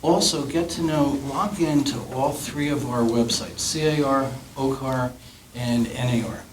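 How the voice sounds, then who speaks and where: A middle-aged man giving earnest, practical career advice as a panelist, clearing his throat now and then. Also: medium pitch, normal speed. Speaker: real estate panelist. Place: meeting room. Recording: echoey room, faint noise.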